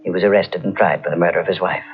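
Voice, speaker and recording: An actor playing a brooding uncle in an old radio mystery, speaking gravely and ominously. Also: male voice, sits low, fairly even intonation, racing. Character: brooding older man, uncle of the house. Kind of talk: 1940s radio acting. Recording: dull old radio transcription.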